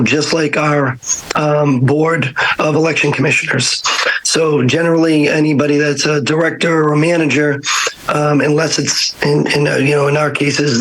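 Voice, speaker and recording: A hoarse man, an elections official, answering questions remotely at a council meeting, halting with many fillers. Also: a little high, fairly bright tone, low-quality recording. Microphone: video call.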